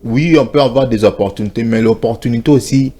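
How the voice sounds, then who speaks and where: A man's voice: mid-range voice, quick pace, warm tone. A man co-hosting a morning TV show, giving earnest advice in a casual studio chat and slipping into Lingala.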